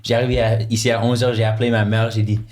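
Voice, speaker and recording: A young man co-hosting a podcast, telling stories in a relaxed, easygoing way. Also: lowish voice, fast. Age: young adult. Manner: laughs easily, relaxed storytelling. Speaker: podcast co-host. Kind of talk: casual chat.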